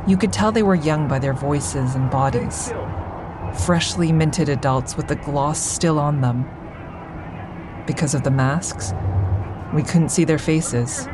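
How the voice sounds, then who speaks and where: An actress playing a traumatized woman survivor in an audio drama, recounting her ordeal in a measured, deliberate way. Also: low-pitched, background noise. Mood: somber, haunted. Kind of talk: full-cast audio drama monologue.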